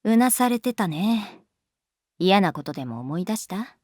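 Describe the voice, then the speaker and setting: A woman's voice: mid-range voice, big pitch swings, a little slow, a little mellow, no echo. An easygoing, wry schoolteacher in a voice drama. Her mid-range voice moves up and down freely as she teases in a casual, indulgent way. The sound is dry and intimate. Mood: calm, probing. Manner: casual, teasing, nonchalant. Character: wry, laid-back adult female schoolteacher, quietly indulgent. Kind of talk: Japanese voice drama acting, natural dialogue.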